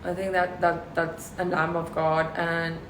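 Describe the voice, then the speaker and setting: A woman in her thirties with a deep voice, chatting casually with fans on a live stream and reading out their questions. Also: level tone, a little slow, roomy sound, a little hiss or hum, poor audio. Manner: chatty, laughs often, reads out questions.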